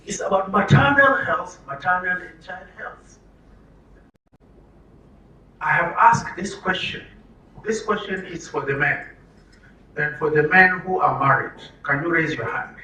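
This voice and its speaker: A Nigerian man presents to a room in a bright, forceful voice, fairly high for a man. He is emphatic and likes to ask questions and answer them himself. The recording is roomy, with the mic some way off and faint noise. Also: a little slow, pauses often, distant mic. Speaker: presenter at a health orientation for media. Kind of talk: interactive public talk. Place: room with an audience.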